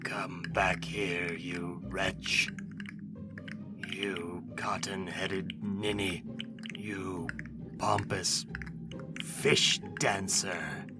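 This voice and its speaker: Pompous chained necromancer, played by a man: very low voice, drawn-out delivery, wheedling, over clear music. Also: little pitch movement, heavily acted. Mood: outraged. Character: ancient bound male necromancer, pompous and wheedling. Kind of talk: ASMR audio roleplay.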